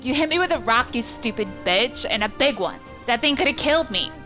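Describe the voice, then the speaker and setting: A woman voicing a cocky, cruel village bully boy who taunts loudly and slowly. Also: mid-range voice, soft background music, natural voice. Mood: angry. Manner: loud, slow, taunting.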